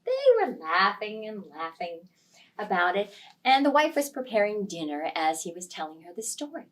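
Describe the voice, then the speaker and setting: A woman telling a story aloud to young children, with expressive, dramatic delivery and emphasis on key words. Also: mid-range voice, normal speed, recorded from a distance.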